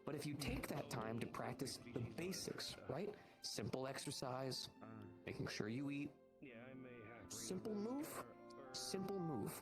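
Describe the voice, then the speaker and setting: A man plays a seasoned monster hunter mentoring a rookie in a fantasy roleplay game. He speaks at a middle pitch, explaining and reassuring, often repeating phrases to drive them home. Loud music runs behind the livestream voice chat. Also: very animated, unhurried. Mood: earnest. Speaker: roleplayer playing a guild instructor. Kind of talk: improvised in-character roleplay in virtual reality.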